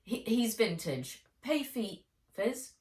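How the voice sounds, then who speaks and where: A woman's voice: fairly high pitch, sing-song, slow pace, darkish tone, pitched above the performer's own voice. A woman voicing a plucky, earnest young girl with an eager manner in a children's storybook. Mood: decisive. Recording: roomy echo, distant from mic.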